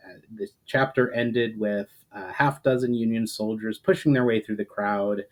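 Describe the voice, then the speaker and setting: A man speaking as an author and storyteller, moving between casual, hesitant introduction and measured dramatic narration. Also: fairly low pitch, fairly even intonation. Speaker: author reading own book. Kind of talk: casual chat intro, then dramatic audiobook-style narration.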